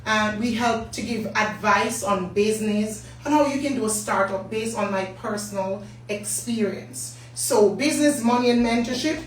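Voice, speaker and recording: A hoarse middle-aged woman with a Jamaican accent hosts a business talk live stream, chatting and greeting viewers by name. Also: mid-range voice, normal speed, few pauses. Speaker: business talk show host. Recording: echoey room, distant mic, faint hum.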